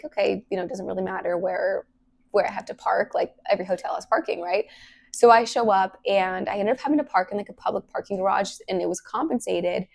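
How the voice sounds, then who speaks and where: A young woman hosting a solo podcast, her voice hoarse and partly lost from illness, talking casually and earnestly with lots of "like" fillers. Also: medium pitch, darkish tone. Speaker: podcast host.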